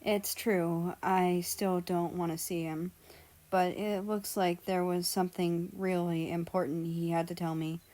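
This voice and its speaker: A woman's voice: lowish voice, flat delivery, measured pace, dark tone. A woman reading first-person fan fiction aloud as a narrator.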